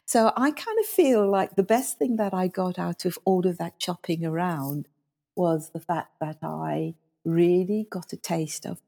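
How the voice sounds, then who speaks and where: A middle-aged woman from South Africa whose first language is Afrikaans, speaking as an interview guest and former school leader in a thoughtful, measured way, often pausing on fillers. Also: lowish voice, creaky, dark tone. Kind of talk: reflective interview storytelling.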